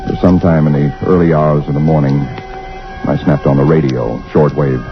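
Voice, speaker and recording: An actor playing a haunted man who waits alone for his doom, narrating in slow, dread-filled old-time radio style. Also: very deep voice, steady tone. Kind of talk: 1940s radio drama acting. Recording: dull lo-fi old radio recording with music.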